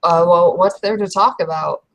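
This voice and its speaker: A woman playing an awkward, evasive young werewolf in a tabletop roleplay, with halting, slangy, stammering speech. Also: on the low side, close to an everyday voice.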